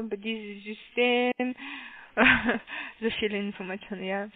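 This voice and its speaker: A woman with a foreign accent works through a sales call at a slow, hesitant pace. Her voice sits fairly high and is squeezed by a narrow phone line. She takes care over each thing she spells out. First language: not German. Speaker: call centre caller.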